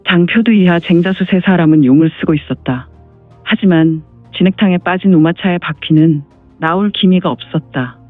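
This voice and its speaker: A man with a high voice narrates a martial arts tale as scripted storytelling. The recording is dry and dull, with faint music underneath. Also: moderate pace.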